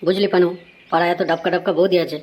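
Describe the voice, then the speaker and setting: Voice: mid-range voice, flat delivery, racing, lo-fi sound, lightly acted. A performer voicing a cheeky, foul-mouthed young male loafer in a comedy cartoon, teasing and bullying, on a noisy recording. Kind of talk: amateur comedy cartoon dialogue.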